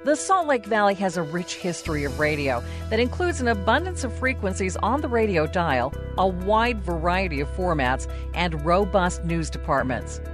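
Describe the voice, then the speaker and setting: An American woman radio anchor narrating a scripted documentary as host. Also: mid-range voice.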